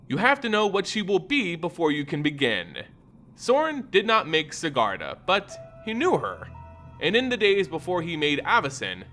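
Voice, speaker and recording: A man giving a dramatic, theatrical scripted narration of a fantasy story, with deliberate emphasis. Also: on the high side, a bit bright. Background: music.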